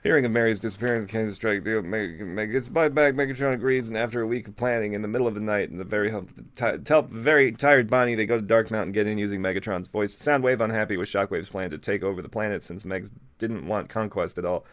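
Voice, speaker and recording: A man reads fan fiction aloud for comedy in a slightly low voice. He moves at a brisk clip and breaks off for casual, mocking asides. The sound is close and dry, with hardly any room in it. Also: fairly bright tone, dry recording. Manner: mocking, ad-libs jokes while reading.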